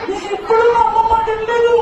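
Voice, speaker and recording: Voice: extremely high pitch, completely flat delivery, fast, lo-fi sound. A performer voicing a frightened, naughty boy in a children's puppet show, whiny and full of dramatic exclamations. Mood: remorseful. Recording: echoey, distant, noisy live show with crowd.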